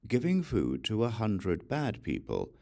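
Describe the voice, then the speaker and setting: A man reading scripture as an audiobook narrator, in a measured, deliberate and solemn delivery. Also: fairly low pitch, wildly swooping pitch, gravelly, a little mellow. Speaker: audiobook reader.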